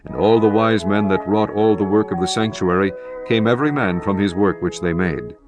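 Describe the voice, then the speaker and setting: A man reading scripture as a narrator, in a measured, formal scripted style. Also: on the low side. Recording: music bed behind the voice.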